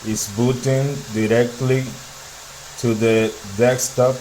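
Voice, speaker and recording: Very slow man, halting phrases. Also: mid-range voice, flat delivery, darkish tone, a little hiss or hum, poor audio. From Dominican Republic. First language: Spanish. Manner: speaks in short broken phrases with pauses and fillers. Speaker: tech YouTuber. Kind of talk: slow, halting screen-recording narration.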